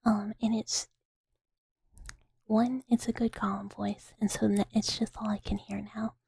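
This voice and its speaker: Breathy whispering woman, slow and giggly. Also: mid-range voice, flat delivery, dark tone, no echo. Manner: giggly, self-mocking, hesitant with many ums. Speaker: livestream ASMR host. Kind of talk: whispered ASMR chat.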